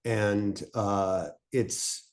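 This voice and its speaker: A man's voice: lowish voice, fairly even intonation, drawn-out words. A man giving a casual, unscripted workshop talk as a panelist, explaining things step by step in a conversational way. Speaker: panelist presenting on fiction podcast pitching.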